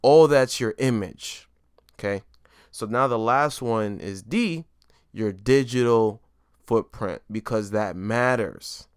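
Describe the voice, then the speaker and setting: A man's voice: mid-range voice, slow, clear, resonant tone, dry recording, studio quality. A man hosting a solo podcast, talking casually and keeps checking in with "right?" and "you know what I mean?". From New York. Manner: emphatic, stresses key words. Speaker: podcast host. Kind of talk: solo motivational podcast talk.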